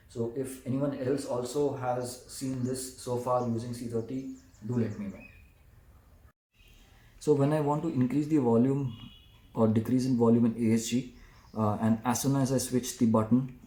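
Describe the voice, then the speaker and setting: A man's voice: mid-range voice, a little mellow. Echoey Indian-accented man, casual reviewer. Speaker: vlogger reviewing motorcycle gear. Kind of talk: casual product comparison talk. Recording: echoey room with some background noise.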